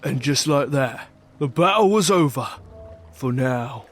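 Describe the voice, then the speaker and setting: A man's voice: medium pitch, animated, a little slow, fairly bright tone. A man narrating a scripted adventure story in a dramatic storytelling style. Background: music.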